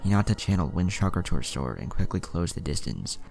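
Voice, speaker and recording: A man narrates fiction in an extremely low voice with a gravelly, creaky edge. His intonation moves around a lot as he reads the story, with music playing behind him. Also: very animated, fast talker. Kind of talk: storytelling narration, reading aloud with character dialogue. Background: background music.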